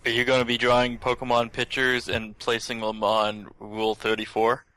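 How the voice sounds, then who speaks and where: A man's voice: medium pitch, completely flat delivery, moderate pace, sharp tone, dry recording, low-quality recording. A man chatting casually and jokingly as a podcast co-host.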